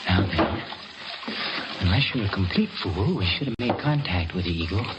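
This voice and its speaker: An actor in an old radio drama playing a gritty young soldier piloting a primitive submarine, talking aloud to himself and urging himself on. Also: male voice, low-pitched, animated, normal speed. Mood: wary, hushed urging. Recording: muffled, noisy old radio recording.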